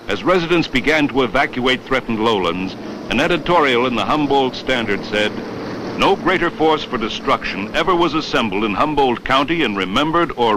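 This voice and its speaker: An American man of medium pitch narrates a historical documentary. His delivery is measured and formal, with clear noise behind the voice.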